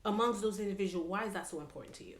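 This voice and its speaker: A woman's voice: medium pitch, rapid-fire. A woman hosting a casual conversational interview, often chiming in with affirming interjections. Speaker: podcast host. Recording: echoey room.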